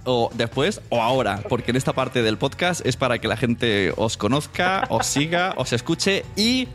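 A man's voice: mid-range voice, lively intonation, moderate pace, fairly bright tone, soft background music. A man hosting a podcast in a casual, conversational style. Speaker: podcast host.

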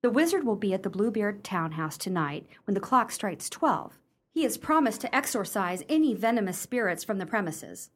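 A woman plays an arch, sceptical gentlewoman in a radio drama. Her mid-range voice is formal and genteel, delivering lines with dry wit. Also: mid-range voice, big pitch swings, normal speed. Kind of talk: radio play acting.

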